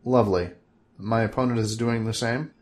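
A man's voice: mid-range voice, steady tone, normal speed, close to an everyday voice. A man voicing a dry, sardonic, grumbling everyman hero.

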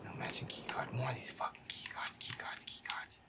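Irritable man muttering in a half-whisper. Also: brisk. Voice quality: breathy, often half-whispered. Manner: irritable, heavily swearing, muttering. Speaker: gamer playing in character. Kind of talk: horror game commentary, muttering to himself.

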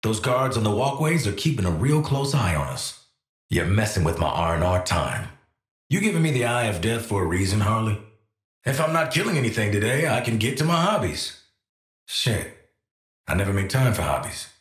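Video game assassin: American, cynical and cocky, wry street slang, lively pitch swings, roomy sound. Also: male voice, moderate pace. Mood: wry, confident. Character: cynical, cocky male assassin and protective father. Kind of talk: video game character voice lines.

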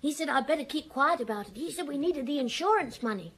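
A young schoolboy in a radio drama. The voice is high and childlike. He confides plainly, like a guilty lad owning up. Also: lively intonation, fast talker. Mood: uneasy, confessing.

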